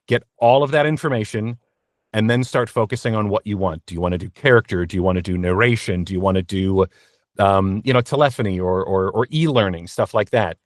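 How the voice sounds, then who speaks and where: A man's voice: mid-range voice, no echo, clean studio sound. A man answering as an interview guest in a conversational, practical, explanatory way. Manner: practical, explanatory advice-giving.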